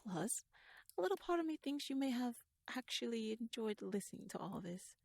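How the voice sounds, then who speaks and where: A woman playing a shrewd, verbose young noblewoman in an ASMR audio roleplay, speaking softly in formal, wry, rambling phrases and often slipping into breathy whispers. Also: fairly high pitch, big pitch swings, normal speed, dry recording, heavily acted. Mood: nervous, playful. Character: shrewd, wordy young noblewoman, nervous yet playfully bold schemer. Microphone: close microphone, dry.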